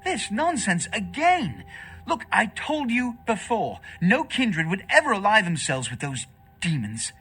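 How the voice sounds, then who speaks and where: A scheming vampire prince in a video game, haughty and pompous. The voice is fairly high and forceful, with theatrical swells and formal phrasing over faint music. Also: male voice, big pitch swings, measured pace, slightly rough, exaggerated voice. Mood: agitated, indignant.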